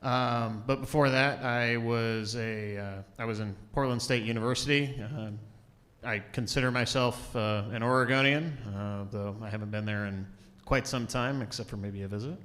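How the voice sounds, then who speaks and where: A young man, a student and army veteran, introducing himself loudly and casually in a meeting room. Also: mid-range voice, steady tone, moderate pace. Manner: loud, casual, friendly.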